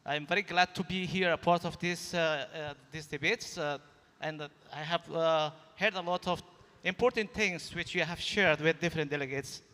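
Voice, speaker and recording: A high-voiced man with an Afghan accent speaks formally and haltingly as he asks a question at a debate. His tone is bright and forceful, and it rings with long reverb from a big hall. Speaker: audience member asking a question. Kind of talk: prepared question at a public debate.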